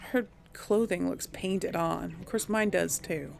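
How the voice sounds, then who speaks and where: A woman's voice: lowish voice, measured pace, vocal fry, a little mellow. A woman hosting a gaming channel, giving casual role-play commentary as she plays.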